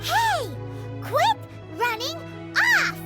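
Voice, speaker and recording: A woman voicing a tiny, excitable female fairy guide in a video game, chirpy, childish and theatrical, with a squeaky voice. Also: extremely slow, heavily acted. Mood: exasperated. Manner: chirpy, theatrical, speaks of herself in third person. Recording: loud game music behind.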